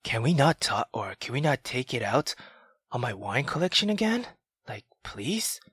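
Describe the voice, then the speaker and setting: A woman voicing an irritated, weary aristocratic husband in a low, restrained, exasperated way for a visual novel. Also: big pitch swings, moderate pace, a bit bright, slightly rough, no room sound, heavily acted. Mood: defensive, strained.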